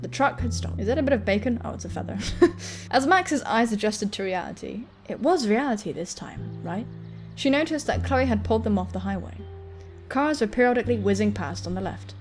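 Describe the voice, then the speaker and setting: A woman's voice: fairly high pitch, lively intonation, brisk, a little mellow, faint music behind. A woman doing let's-play commentary, reading a visual novel aloud and joking crudely with plenty of swearing. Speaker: gaming video host.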